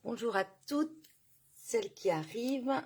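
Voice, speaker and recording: A woman's voice: a little high, animated, measured pace, a little mellow, slightly rough. A woman with a cold and sore throat, hosting a casual craft live stream and greeting viewers by name, chatty with many fillers.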